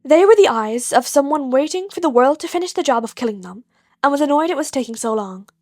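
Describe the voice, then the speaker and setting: High-voiced woman narrating fiction. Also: expressive, quick pace, a little mellow, dry recording, clean studio sound. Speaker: podfic narrator.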